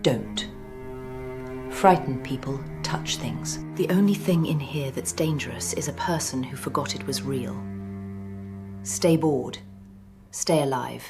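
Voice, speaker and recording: An actress playing a brisk, wry woman in charge of a secret archive, clipped and dry, in an audio drama. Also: a bass voice, exaggerated voice. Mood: serious, measured. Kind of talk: scripted audio drama acting. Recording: music under the voice.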